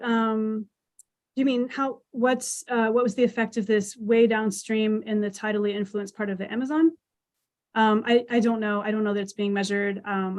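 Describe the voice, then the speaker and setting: A woman scientist answering audience questions over a video call, thoughtful and hedging with restarts. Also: mid-range voice. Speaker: speaker.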